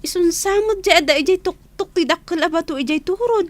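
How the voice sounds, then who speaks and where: A woman's voice: very high voice, brisk, faint music behind. A woman acting a young, nagging but accommodating tattler in a radio drama, with an Ilocano accent. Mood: concerned.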